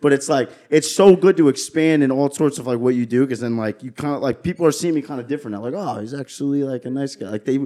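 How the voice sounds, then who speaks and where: A man's voice: medium pitch, fast, hardly any pauses. A young man hosting a podcast, chatting loosely and swearing often as he jokes with his guests. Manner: loose, profane, animated banter. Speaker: podcast host. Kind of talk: casual podcast chat.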